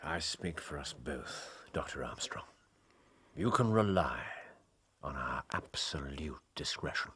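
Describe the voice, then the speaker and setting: Incisive English RP detective, radio drama, bright forceful edge, raspy, precise, formal. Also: male voice, rumbling low, lively intonation, a little slow, exaggerated voice. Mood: subdued. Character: shrewd, discreet gentleman detective, keenly incisive. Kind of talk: British radio drama acting.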